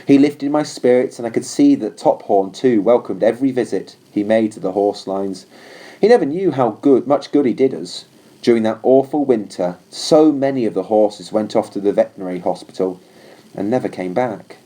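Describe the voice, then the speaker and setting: A man narrating a storybook as a loyal, earnest army horse in a measured way. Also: mid-range voice, warm tone, natural voice.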